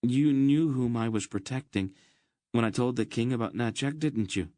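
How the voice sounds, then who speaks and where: A man's voice: mid-range voice, moderate pace, dark tone, no room sound, studio quality, voice pushed up, natural voice. A man voicing an earnest, slightly awkward young man talking with a knight.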